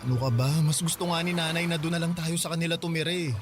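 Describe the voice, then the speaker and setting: A man's voice: on the high side, fast, lightly acted. An actor playing a resolute young husband and father, firm and reassuring. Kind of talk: radio drama acting. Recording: voice over drama music.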